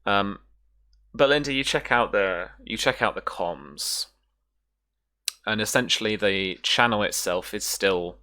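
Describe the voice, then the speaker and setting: Very low-pitched, bright-voiced game master. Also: measured pace. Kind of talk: improvised tabletop game narration.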